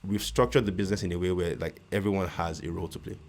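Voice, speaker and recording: A Nigerian-accented man with a strikingly deep, low-pitched voice. He talks through his work as an interview guest in a measured, thoughtful way. He stumbles and restarts his sentences now and then. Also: fairly even intonation, darkish tone. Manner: measured, with frequent restarts and stutters. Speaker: guest, real estate investor. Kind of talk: casual interview conversation.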